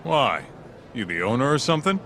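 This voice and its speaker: A man's voice: mid-range voice, very animated, a little fast, pushed and bright, a little hiss or hum. An actor playing a brash, needling male detective's sidekick, blunt and sarcastic, in an English video game dub.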